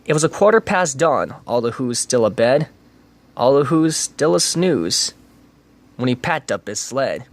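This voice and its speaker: A man's voice: mid-range voice, unhurried. Man giving a rhythmic storybook reading. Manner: rhythmic rhyming delivery with lively emphasis. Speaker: reader.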